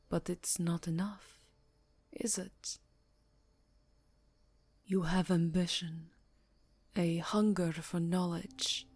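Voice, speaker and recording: A seductive vampire countess, played by a woman for an intimate ASMR roleplay, speaks in a husky, breathy voice that often sinks into whispers. Her delivery is very slow and deliberate, with a formal, teasing, aristocratic air. Music plays clearly behind her. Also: lowish voice, darkish tone. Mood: probing, intimate.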